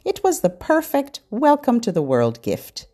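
A middle-aged or older woman hosting a children's podcast, giving scripted, expressive, instructional narration aimed at parents and babies. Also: a little high, measured pace.

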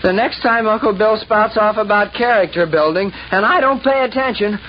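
An actor playing an excitable, easily exasperated teenage boy at summer camp in an old radio comedy. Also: sits high, moderate pace, lightly acted. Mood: glum, put-upon. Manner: exclamatory, put-upon. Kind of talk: 1940s radio comedy acting. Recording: noisy, muffled 1940s radio broadcast.

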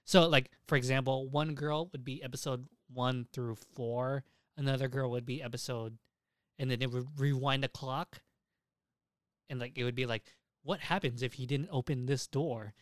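A man with a mid-pitched voice talks like a podcast host in casual conversation. He retells things in an animated, informal way and swears freely. The sound is dry, with very little room on it. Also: normal speed, dry recording. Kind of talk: casual chat about anime and visual novels.

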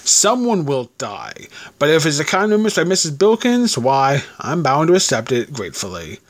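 Man playing a gruff, pompous old colonel, animated. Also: fairly high pitch, normal speed. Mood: indignant, suspicious. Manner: pompous, formal. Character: gruff, proud old military veteran, stiff and easily affronted.

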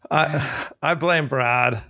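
A man's voice: a little high, bright, forceful tone. An American man co-hosting a casual chat, joking, with loose phrasing. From United States. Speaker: podcast co-host.

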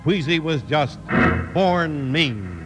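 A man's voice: a little high, animated, slow. A man with an American accent announcing in the style of a 1950s radio show. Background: music.

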